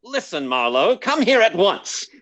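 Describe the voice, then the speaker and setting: A man's voice: high-pitched, big pitch swings, unhurried, a bit bright, no room sound, exaggerated voice. A man playing a domineering, indignant rich old man in a radio detective play, barking orders imperiously. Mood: furious, demanding. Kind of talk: old-time radio detective drama acting.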